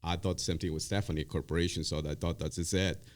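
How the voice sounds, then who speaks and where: A middle-aged man from Ecuador and Spain, a native Spanish speaker, talking as an interview guest in a halting, repetitive way. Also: low voice, steady tone. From born in Ecuador, raised in Spain. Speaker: podcast guest, real estate team leader. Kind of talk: conversational interview.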